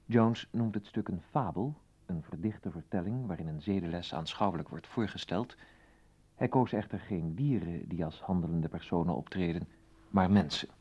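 A man's voice: low voice, moderate pace, darkish tone, slightly rough. A man formally reading a scripted introduction to a radio play as its announcer. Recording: dull vintage radio recording.

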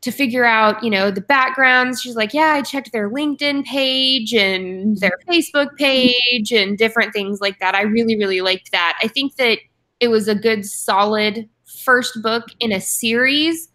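A woman's voice: fairly high pitch, unhurried, pushed and bright. A young woman co-hosting a book club over a video call from home, chatty, with frequent ums and restarts. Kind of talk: casual video call chat. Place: home room with posters and bookshelf. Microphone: webcam on video call.

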